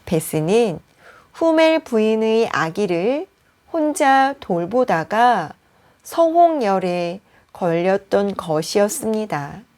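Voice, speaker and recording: A woman reading a bedtime story for language learners as a teacher, with careful phrasing and frequent pauses. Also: medium pitch, animated, darkish tone.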